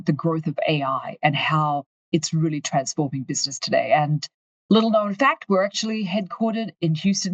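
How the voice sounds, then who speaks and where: An Australian woman from far north Queensland, a finance executive telling her story in a candid, lively interview. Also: sits low, no room sound. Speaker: guest, company finance chief. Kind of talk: conversational interview.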